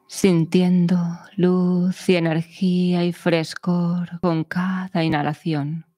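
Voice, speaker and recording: Woman, meditation guide, very unhurried delivery, soothing measured phrasing, long pauses, close dry recording. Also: on the low side, flat delivery, mellow, dry recording.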